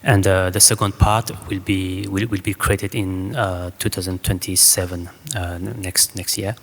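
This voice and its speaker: A man with a French accent speaking halting, hesitant English as a guest choreographer at an informal public talk. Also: deep voice, level tone, fairly bright tone. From France. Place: indoor public hall with audience. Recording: echoey hall, faint background noise.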